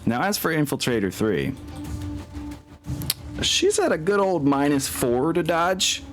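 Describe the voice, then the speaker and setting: A man's voice: a little high, very animated, a little slow, music in the background. A man running a tabletop game as game master, narrating casually and swearing now and then.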